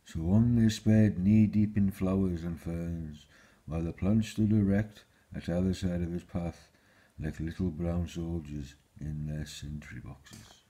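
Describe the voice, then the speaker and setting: A man with a husky voice, reading a children's fairy tale aloud as a storyteller. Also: deep voice, fairly even intonation, unhurried, warm tone.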